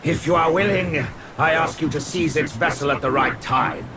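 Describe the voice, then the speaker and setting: An actor playing a proud, scheming demon lord in a video game cutscene, measured and self-assured. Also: male voice, fairly high pitch, noisy background, close to an everyday voice.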